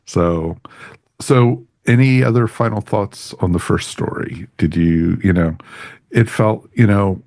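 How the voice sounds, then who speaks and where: A man's voice: lowish voice, slow, darkish tone, no room sound, clean studio sound. A man hosting a podcast, talking casually as he leads a review discussion. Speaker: podcast host.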